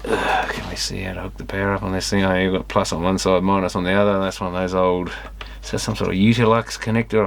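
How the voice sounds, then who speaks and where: Male, lowish voice, casual hands-on vlogger muttering as he tinkers; noisy camera-mic audio with clicks. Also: vocal fry. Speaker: electronics repair vlogger. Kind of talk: casual off-the-cuff commentary while inspecting gear. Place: electronics repair workshop.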